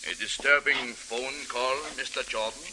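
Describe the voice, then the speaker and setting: An actor playing a big, poised Boer wanderer with a polite, formal, probing manner in a 1940s radio drama. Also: male voice, mid-range voice, fairly even intonation, lightly acted, rough recording. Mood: suave, courteous. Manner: polite, formal, measured with hesitant uhs. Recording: hissy, bright 1940s radio recording.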